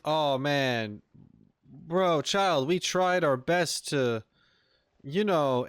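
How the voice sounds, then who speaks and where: A man commentates casually on a video game as a streamer. His voice is mid-pitched and his phrasing is slangy and offhand. Soft music plays behind him. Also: dry recording.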